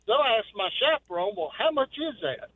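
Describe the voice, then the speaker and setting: A man's voice: very high-pitched, expressive, fairly quick, slight background noise. Man telling a story over the phone. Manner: folksy storyteller. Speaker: caller. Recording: tinny phone line.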